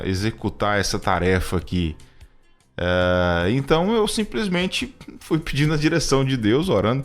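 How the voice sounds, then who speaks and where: A Brazilian man in his thirties giving personal testimony in conversational talk, reflective and halting, often repeating words as he goes. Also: on the low side. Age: 30s. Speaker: radio show co-host.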